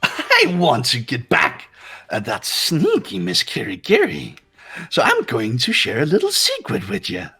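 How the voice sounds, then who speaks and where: A man's voice: a little high, huge pitch swings, measured pace, vocal fry, sharp tone, breathy edge, voice pushed up, heavily acted. A man voicing a gleefully menacing, mocking robot bear headmaster in a fan-dubbed game, theatrical and teasing in sing-song swoops. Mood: sly, gleeful. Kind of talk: fan voice acting of a visual novel game.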